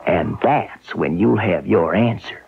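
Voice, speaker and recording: An actor playing a cocky, power-hungry small-time schemer in a radio drama, with a smug, folksy, wheedling manner. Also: male voice, low voice, slow pace, creaky, over loud music, exaggerated voice. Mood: smug, confident. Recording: muffled old radio broadcast recording.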